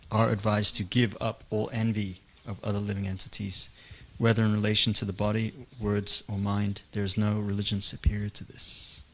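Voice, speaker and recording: Man reading a verse in a flat tone. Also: on the low side. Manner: reading a verse aloud carefully. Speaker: class participant.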